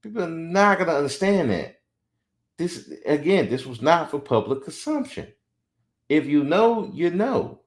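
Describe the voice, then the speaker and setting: A man's voice: on the high side, a little slow. A man in his forties hosting a podcast, giving blunt, opinionated commentary and mocking others now and then. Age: 40s. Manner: blunt, animated, mocking when quoting others. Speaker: podcast host.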